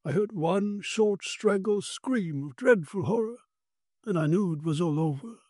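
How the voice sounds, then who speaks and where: Occult investigator, male, acted by a man narrator. Raised pitch, authoritative, grave, emphatic storybook delivery with hesitant pauses, dry close sound. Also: a little mellow, slightly rough, no room sound. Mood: grave, explaining. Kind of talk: dramatised audiobook character.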